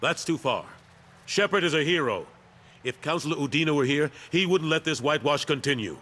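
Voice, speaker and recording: A man's voice: a little high, expressive, brisk, fairly bright tone. A man playing a loyal, weary older military statesman in a sci-fi video game. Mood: insistent.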